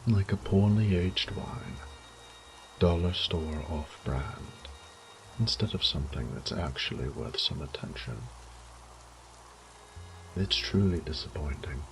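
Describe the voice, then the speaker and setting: A man playing a sadistic, condescending vampire lord in a roleplay audio drama, theatrical and taunting, with breathy whispered phrases. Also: low voice, takes it slowly, pauses often, slight background noise, low-quality recording. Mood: cold, savoring.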